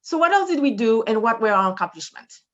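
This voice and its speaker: A woman with a French Haitian accent, a medical director giving a measured, formal conference presentation over a video call. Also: on the high side, sing-song, fairly bright tone, dull audio. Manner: measured, formal, reading data with occasional fillers. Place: in front of a brick wall indoors.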